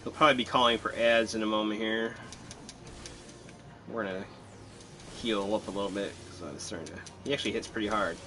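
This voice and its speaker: A man's voice: lowish voice, fairly even intonation, fairly quick, pauses often, pushed and bright, soft background music. A man casually commentating on his live game stream, chatty and self-deprecating, thinking aloud as he plays.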